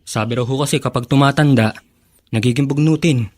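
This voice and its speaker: A man playing a respectful, understanding young grandson in a radio drama, speaking politely and gently. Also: mid-range voice, a little fast, close to an everyday voice. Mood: concerned. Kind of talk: Filipino radio drama acting.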